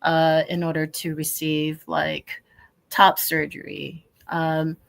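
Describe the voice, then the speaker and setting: A man's voice: a little high, completely flat delivery, takes it slowly, vocal fry, a bit bright. A man speaking earnestly as a panelist, hesitating often with "uh". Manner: earnest, hesitant, frequent "uh" fillers. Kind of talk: panel discussion.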